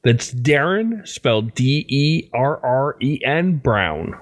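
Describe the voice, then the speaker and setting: A man's voice: mid-range voice, animated, slow, dry recording. A man hosting a podcast, reading scripted remarks and sign-off to listeners. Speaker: podcast host.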